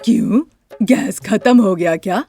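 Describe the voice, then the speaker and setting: A woman's voice: mid-range voice, a little slow, mellow, faint music behind, lightly acted. Woman playing a brazen, stingy mother-in-law. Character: scheming, stingy middle-aged mother-in-law.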